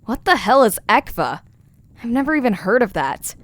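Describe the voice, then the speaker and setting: A woman's voice: on the high side, big pitch swings, moderate pace, no room sound. A woman playing a frightened young woman searching for her missing friend in an audio drama. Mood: wary, startled.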